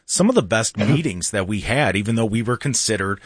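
A man's voice: on the low side, animated, fairly quick, breathy edge, no echo. A man hosting a talk radio show in a studio, chatty, laughing often and restarting his sentences. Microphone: studio microphone.

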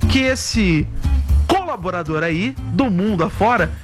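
A man hosting a call-in radio show, telling funny stories in an animated, joking way. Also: sits high, measured pace. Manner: animated, playful, jokey storyteller. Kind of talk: lively radio storytelling and call-in chat. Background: music.

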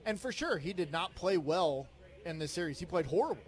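A man co-hosting a sports radio show from a bar, talking in a loud, preacher-like voice with joking banter. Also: fairly high pitch, very animated, brisk. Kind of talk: casual sports talk. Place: sports bar remote broadcast. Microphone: headphones and studio mic.

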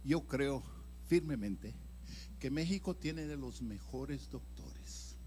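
An older man, an evangelist, telling his own story in a sermon, swinging from loud emphatic bursts to hushed near-whispers. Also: mid-range voice, animated, takes it slowly, a little hiss or hum. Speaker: evangelist preacher. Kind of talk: sermon testimony.

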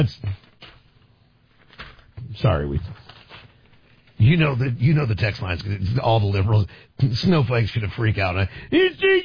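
A man's voice: on the high side, husky, dry recording, dull audio. A man hosting a political talk radio show, talking in a sarcastic, combative way with rambling asides.

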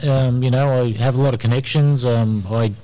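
A man's voice: mid-range voice, fairly even intonation, normal speed, warm tone. A middle-aged Australian man, an immigration lawyer, talking energetically in a casual radio interview with plenty of ums and uhs, recorded in a community centre hall.

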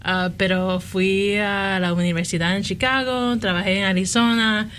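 A woman's voice: mid-range voice, steady tone, unhurried, fairly bright tone, slight background noise. A woman from Miami with a mixed Puerto Rican and Mexican accent, answering interview questions hesitantly. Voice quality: hesitant, many fillers and restarts. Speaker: library guest.

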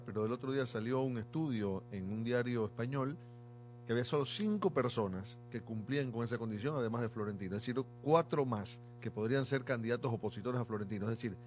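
A man's voice: medium pitch, a little mellow. Male football analyst, average register, explanatory and emphatic, conversational panel tone over a music bed. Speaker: football commentator and expert on Spanish football. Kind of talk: casual analytical panel talk.

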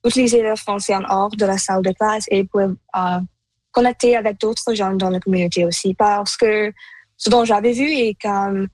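A teenage woman, a native Punjabi speaker, giving casual interview answers in halting second-language speech as she searches for words. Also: mid-range voice, steady tone, a little mellow, no room sound. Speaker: interview guest.

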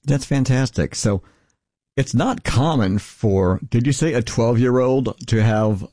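A man's voice: medium pitch, unhurried, warm tone, no echo, clean studio sound. A man hosting a radio interview, introducing his guest and putting questions to him. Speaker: interview host.

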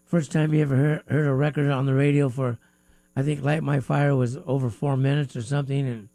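A man's voice: medium pitch, level tone, fairly quick, dark tone, no echo. A man hosting a live radio music talk show from a studio, with a stuffy nose and a rambling, filler-heavy, chatty way of talking.

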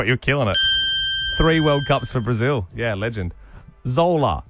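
A man's voice: mid-range voice, lively intonation, over loud music. Upbeat radio host man. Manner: enthusiastic, quick-talking quizmaster. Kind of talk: breakfast radio banter.

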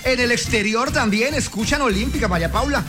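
A man with a Colombian accent hosting a morning radio show, playful and jokey in lively banter with his co-host. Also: very high voice, fairly quick, music in the background. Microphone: studio microphone.

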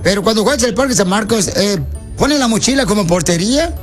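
A man putting on an earnest, muddled soccer recruiter for a radio prank. His voice sits high, and he stumbles through garbled questions with mock seriousness. Faint music runs underneath. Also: lively intonation, quick pace. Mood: mock serious.

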